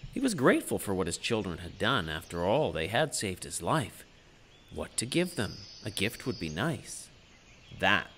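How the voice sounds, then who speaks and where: A man narrating a children's story in an expressive, theatrical way. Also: low-pitched, a little hiss or hum. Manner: expressive, dramatic delivery. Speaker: storyteller, puppet show narrator.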